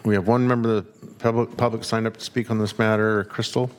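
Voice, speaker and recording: Relaxed man chairing a meeting. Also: lowish voice, fast talker. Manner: relaxed and procedural, uses fillers. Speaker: commission chair.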